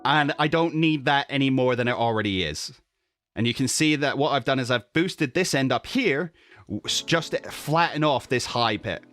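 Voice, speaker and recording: A man's voice: medium pitch, expressive, moderate pace, a bit bright, faint music behind. A man in a casual tutorial, explaining his streaming audio setup in a chatty way, often checking in with "okay?" and correcting himself. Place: home streaming desk. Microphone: studio mic with noise gate and compressor.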